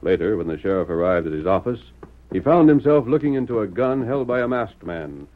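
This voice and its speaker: A man's voice: on the low side, sing-song, a little fast. A man narrating an old-time radio drama as announcer, in a formal, dramatic manner. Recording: tinny vintage radio recording.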